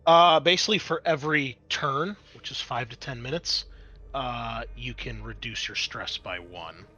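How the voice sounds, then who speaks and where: A man acts as game master with a sharp, bright voice. He moves between narrating scenes and explaining rules, keeping it conversational and explanatory. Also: mid-range voice, unhurried, muffled recording. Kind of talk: narrating and refereeing a tabletop game.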